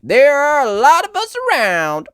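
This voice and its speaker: Woman doing a folksy, high-pitched cowgirl rabbit. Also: big pitch swings, measured pace, clean tone, dry recording, clean studio sound. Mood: hearty. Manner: folksy, hearty. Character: friendly female rabbit diner cowgirl with guitar.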